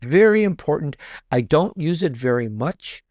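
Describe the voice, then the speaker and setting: A man's voice: on the high side, animated, normal speed, a little mellow, no echo, studio quality. A man talking casually as a podcast guest, chatty and rambling, often repeating and restarting his phrases. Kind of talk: casual, conversational interview answer.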